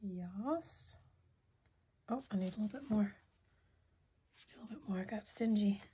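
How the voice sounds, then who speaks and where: A hoarse woman demonstrating a craft project in casual tutorial style, softly thinking aloud and drifting into whispered asides. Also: medium pitch, unhurried, a little hiss or hum. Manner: soft-spoken, musing, drifts into whispered asides.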